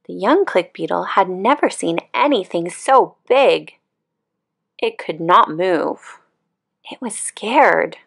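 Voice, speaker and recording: A woman reading a children's picture book aloud as a calm, expressive storyteller. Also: on the low side, slow, vocal fry, husky.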